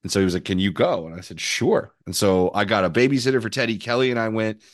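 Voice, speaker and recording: A man with a hoarse, worn voice, co-hosting a casual sports podcast and needling his friend. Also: on the low side, fast, no room sound, clean studio sound. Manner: teasing, needling his co-host. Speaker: podcast co-host.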